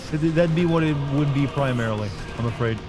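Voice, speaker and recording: A man's voice: a little high, measured pace, music in the background. A man streaming a game live, chatting casually in a rambling, joking way. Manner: rambling and joking, lots of fillers like "like". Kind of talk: casual live gameplay commentary.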